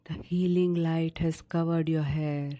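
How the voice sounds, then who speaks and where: A woman narrating a guided sleep meditation in a soothing, unhurried manner with long pauses between short instructions. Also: low voice, level tone, warm tone.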